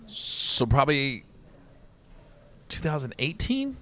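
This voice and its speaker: A man's voice: medium pitch, takes it slowly. A man, a comedian guest, telling stories in casual podcast chat, acting out quotes and often checking in with "right?". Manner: animated storyteller, acts out quotes, says "right?" often.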